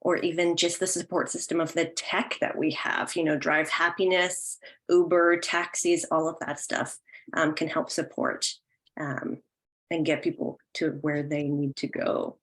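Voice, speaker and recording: Low-pitched woman hosting an online discussion. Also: flat delivery, measured pace, fairly bright tone. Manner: encouraging, affirming audience comments. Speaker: host of an online audience discussion. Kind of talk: hosting a virtual question and comment session. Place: online session, remote call.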